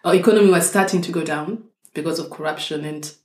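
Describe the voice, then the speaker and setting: A woman's voice: low-pitched, quick pace, a little mellow. A young woman from Zimbabwe, a doctor, talking thoughtfully and at length as an interview guest. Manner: thoughtful, explains at length with restarts. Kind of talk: casual interview conversation. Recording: echoey room.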